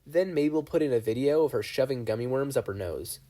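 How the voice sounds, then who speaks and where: A man voicing an anxious, earnest young teenage boy in a read-aloud story, with a gravelly, gentle coaching manner. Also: mid-range voice, expressive, natural voice. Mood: coaxing. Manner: gentle, coaching, talks fast.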